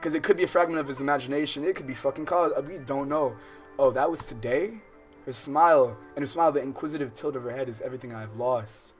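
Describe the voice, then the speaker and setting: A man's voice: mid-range voice, fast talker. An American man livestreaming a visual novel, reading the text aloud and breaking off into profane, slangy, casual commentary. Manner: slangy, profane, digressive, interrupting himself while reading. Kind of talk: casual let's-play commentary with read-aloud game text. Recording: music playing under the voice. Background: game soundtrack music.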